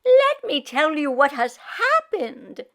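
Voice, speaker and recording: A woman's voice: high-pitched, sing-song, takes it slowly, a bit bright, pitched above the performer's own voice, full character voice. A woman voicing an older woman, a dramatic, exclamatory kvetch from a storybook. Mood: distraught. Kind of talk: storybook character voice.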